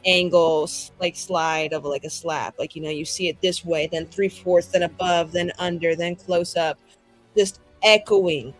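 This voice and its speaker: A woman's voice: lowish voice, steady tone, unhurried, low-quality recording. A woman playing a tabletop roleplaying game, improvising and narrating in a slangy, sweary, rambling way. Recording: music under the voice. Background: music.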